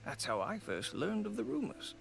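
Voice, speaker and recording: A man playing a polite, uneasy supplier in a video game, hesitantly explaining bad news with a slightly creaky voice. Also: sits high, huge pitch swings, normal speed, fairly bright tone, soft background music, heavily acted. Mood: grave, apologetic.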